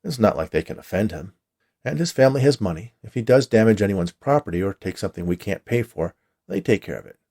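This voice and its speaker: A man's voice: lowish voice, lively intonation, fast, vocal fry, warm tone. A man voicing a matter-of-fact veteran watcher who explains the job to a new hire in a story reading. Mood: matter-of-fact explaining.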